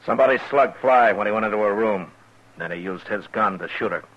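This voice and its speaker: A man's voice: fairly low pitch, brisk, close to an everyday voice. An American actor playing a shrewd, authoritative frontier lawman in a 1950s radio western, terse and commanding. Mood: stern. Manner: terse, commanding, plain-spoken. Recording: muffled 1950s radio recording.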